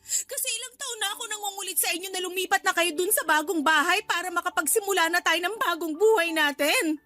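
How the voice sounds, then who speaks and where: Distraught daughter, very high, piercing. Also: female voice, quick pace, sharp tone, soft background music. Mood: desperate pleading. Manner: tearful, pleading, accusing. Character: distraught grown daughter pleading with her mother. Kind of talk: Tagalog radio drama acting.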